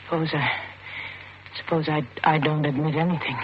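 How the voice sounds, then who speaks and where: A woman's voice: sits low, steady tone, normal speed, close to an everyday voice. An actress playing a bewildered, fearful woman accused of murder, hesitant and guarded, in an old-time radio suspense drama. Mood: fearful, confused. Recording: muffled, noisy old radio recording.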